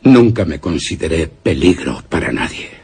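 A man's voice: very deep voice, sing-song, moderate pace, darkish tone, husky, exaggerated voice. An actor playing an ardent, suspicious man in a radio suspense drama, earnest and intense. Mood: suspicious, probing. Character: ardent, suspicious male visitor to a gloomy castle.

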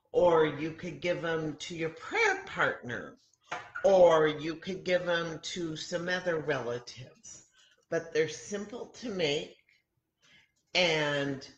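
An older woman, around her sixties, talks to young children as a Bible story time teacher. Her voice sits on the low side and swings expressively through simple phrases. She goes very slowly, leaving room between thoughts. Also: a bit bright. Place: room at home beside a kitchen, indoors. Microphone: laptop or webcam mic at arm's length. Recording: roomy, echoey home webcam sound.

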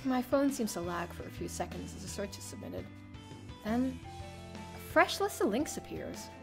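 A young woman streamer reading a visual novel aloud in an expressive, theatrical first-person narration. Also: on the high side, normal speed. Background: soft game music.

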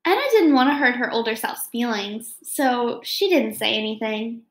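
Young female storyteller, high voice, reading to kids in an echoey home room. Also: expressive, normal speed. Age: young adult. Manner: addresses young listeners with questions and comments. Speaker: storyteller reading aloud to children. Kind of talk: children's picture book read-aloud with asides. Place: small home room, indoors.

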